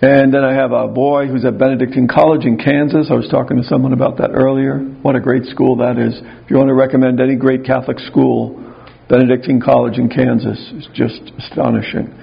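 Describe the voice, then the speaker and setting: Older man with a very low, gravelly voice. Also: flat delivery, fairly quick, lo-fi sound. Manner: conversational, wry, self-deprecating humor. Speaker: speaker at a gathering of clergy. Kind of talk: spiritual talk to a live audience.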